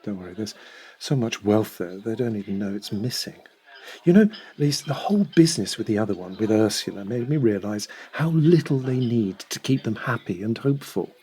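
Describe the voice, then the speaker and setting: An actor playing a middle-aged schemer and adulterer in an audio drama, self-assured and measured. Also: male voice, lowish voice, animated, warm tone, close to an everyday voice. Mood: smug. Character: smooth-talking calculating middle-aged adulterer and sponger.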